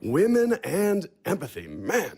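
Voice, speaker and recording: A man voices a brash, cynical moneyed man in an audio play, blunt and quick with a sneer. His pitch sits a little high for a man. Every line has a sarcastic edge. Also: wildly swooping pitch, a little mellow, husky, exaggerated voice. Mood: sardonic.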